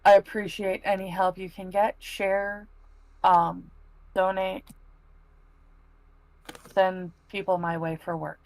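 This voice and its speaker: Slow-paced woman on a panel. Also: mid-range voice, level tone, poor audio. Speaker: panel member. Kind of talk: casual live stream chat.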